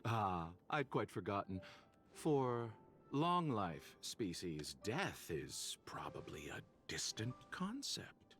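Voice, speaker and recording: Mysterious merchant, low and super sing-song, chuckles softly, quiet game music. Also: male voice, sing-song, slow pace, exaggerated voice. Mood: amused. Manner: smooth, with soft amused laughs. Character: suave, mysterious male merchant, composed and knowing. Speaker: game character. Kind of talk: video game voice acting. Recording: game audio with faint music behind.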